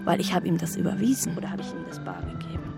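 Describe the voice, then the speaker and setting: A woman's voice: lowish voice, wildly swooping pitch, quick pace, gravelly, loud music behind the voice, heavily acted. An actress playing a scatterbrained, self-justifying woman in a naturalistic radio drama monologue, rambling and questioning herself as she goes. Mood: rambling, exasperated.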